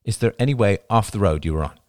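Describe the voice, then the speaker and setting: A man voicing a calm, stern, businesslike male character in an audiobook, speaking in a flat, terse, controlled way. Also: fairly low pitch, fast talker, no echo, studio quality, natural voice. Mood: concerned, urgent.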